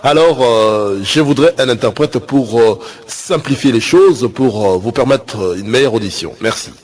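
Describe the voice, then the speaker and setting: Man acting pompous visiting pastor, wildly swinging pitch. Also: expressive, normal speed, darkish tone, close to an everyday voice. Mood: self-important, hesitant. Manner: affected, hesitant, then indignant. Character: pompous visiting pastor from Paris, easily exasperated. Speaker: visiting preacher in a comedy sketch. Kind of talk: comic sermon sketch with an interpreter.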